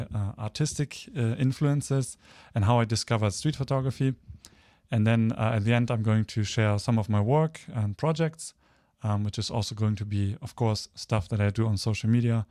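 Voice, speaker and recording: Male presenter, thirties, from Germany. Informal, hesitant slide talk, mid-range pitch, dry recording. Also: darkish tone, no room sound. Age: 30s. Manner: hesitant, searching for words. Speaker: guest speaker, photographer.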